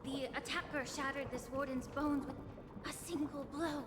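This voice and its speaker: A woman's voice: high voice, fairly even intonation, normal speed, roomy sound, in a large hall, noisy background, natural voice. An actress playing a poised female healer in a video game, speaking in a formal, polite and precise way. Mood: composed, focused. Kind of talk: scripted video game character dialogue.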